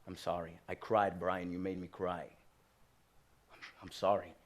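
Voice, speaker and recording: A man voicing his aging immigrant father, speaking quietly in halting, emotional apologies. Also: fairly low pitch, voice pushed down, lightly acted. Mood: tender. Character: gentle aging immigrant father, soft-spoken.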